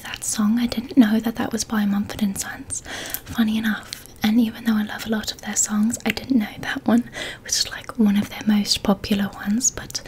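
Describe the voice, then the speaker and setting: A young woman whispering softly and reassuringly into a close microphone in an ASMR roleplay. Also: mid-range voice, rough recording. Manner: soft whispering, gentle and reassuring. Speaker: ASMR creator.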